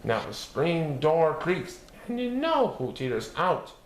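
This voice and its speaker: A man reading a picture book aloud as a storyteller, expressive and sing-song, playing with repeated words. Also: fairly high pitch, takes it slowly. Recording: roomy echo with faint background noise.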